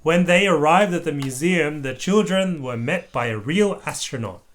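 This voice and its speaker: An animated parent reads a children's storybook aloud with very lively intonation. The pitch swings widely through the narration, and the delivery acts out the dialogue for a young listener. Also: moderate pace.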